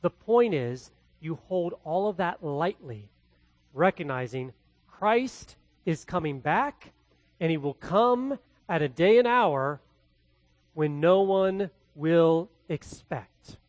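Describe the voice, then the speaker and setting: A man from the Midwest with a Midwestern accent, preaching a verse-by-verse sermon as pastor, swinging between loud and quietly hushed delivery. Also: on the high side, animated, takes it slowly, dry recording, highs cut off. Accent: Midwestern American. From American Midwest. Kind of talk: expository sermon.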